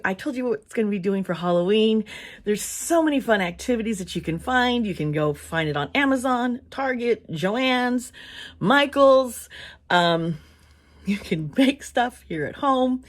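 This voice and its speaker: A woman with a hoarse, worn voice chatting casually to camera as a channel host, rambling a little and laughing often between thoughts. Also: mid-range voice. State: hoarse, losing her voice. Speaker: tarot reader and channel host.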